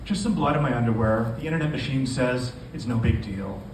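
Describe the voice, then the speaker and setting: A man's voice: mid-range voice, brisk, lightly acted. Man reading as an anxious pregnant woman in an echoey room. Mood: frightened, pleading. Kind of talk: literary fiction read aloud. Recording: echoey live room, distant mic, noisy.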